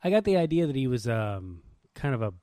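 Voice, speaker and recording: A man's voice: mid-range voice, very animated, fairly quick, warm tone, no room sound, studio quality. A man chatting casually as a podcast co-host, telling stories in an informal, slangy way. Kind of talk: casual chat.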